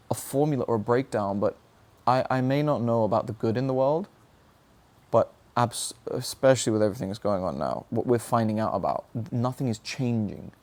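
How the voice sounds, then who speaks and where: A man's voice: medium pitch, a little mellow. A young adult man giving an earnest, searching monologue about his own struggles, often asking himself questions. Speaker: speaker sharing personal reflections. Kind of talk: reflective motivational monologue.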